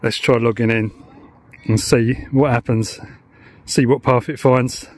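A man's voice: mid-range voice, steady tone, measured pace. A middle-aged man with an English accent giving casual handheld vlog commentary, informally thinking aloud. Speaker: vlogger. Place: parked car and open grassy hilltop outdoors. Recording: clear ambient noise on location.

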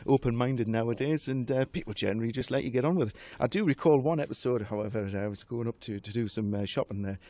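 A man's voice: medium pitch, fast talker, hardly any pauses, dark tone, dry recording. A man chatting as a radio interview guest, jovial and anecdotal, with genteel, jokey, old-fashioned turns of phrase.

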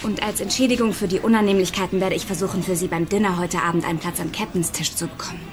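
An actress playing a polite, composed cruise ship receptionist, apologetic and formal, in naturalistic radio drama style. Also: female voice, fairly low pitch, steady tone, quick pace, lightly acted. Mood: courteous. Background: music.

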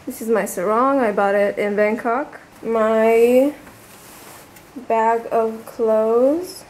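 Young woman chatting slowly, swearing casually. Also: on the high side, darkish tone, echoey room, slight background noise, low-quality recording. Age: 20s. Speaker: travel vlogger. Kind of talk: casual vlog with room tour. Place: small rented room in a residence. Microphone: handheld camera at arm's length.

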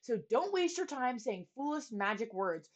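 A woman's voice: on the high side, big pitch swings, moderate pace, voice pushed up. A woman voicing an earnest, bold storybook boy who speaks plainly and urgently. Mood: scolding. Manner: urgent, plain-spoken, scolding. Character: earnest, bold boy, outspoken to his king.

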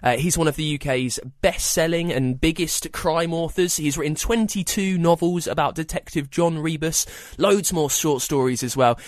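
A man's voice: a little high, normal speed, few pauses, dry recording, studio quality. A man hosting a podcast, introducing and interviewing in a scripted presenter's style.

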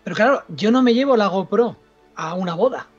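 A man's voice: sits high, expressive, a little fast, highs cut off, soft background music. A middle-aged man with an accent from Spain, a filmmaker talking insistently in a casual panel discussion. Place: indoors at home, video call.

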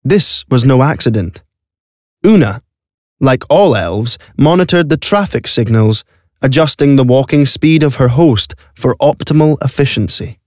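Audio play narrator: man with middle pitch, scripted storytelling, close dry studio recording. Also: animated, measured pace, a little mellow, no room sound.

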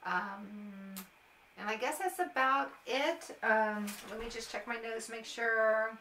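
A middle-aged American woman talks casually to camera, handing out practical advice. Her voice is bright and forceful, and her delivery is informal and emphatic. She sounds a little way from the mic in a roomy, echoey space. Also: a little high, fairly even intonation, slow pace, recorded from a distance, a little hiss or hum. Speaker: airport agent and vlogger.

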